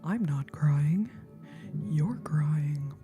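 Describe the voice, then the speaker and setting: Whispering woman chatting softly. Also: deep voice, expressive, drawn-out words, music in the background. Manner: soft whispering, playful. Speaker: live-stream singer. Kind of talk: whispered chat with viewers.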